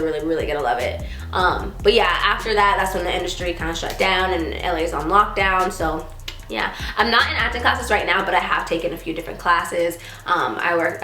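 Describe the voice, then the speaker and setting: A woman in her twenties from Texas, chatting casually as she answers viewer questions, giggling often and sometimes trailing into a soft mumble. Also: medium pitch. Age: 20s. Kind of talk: casual chat. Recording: roomy echo with faint music.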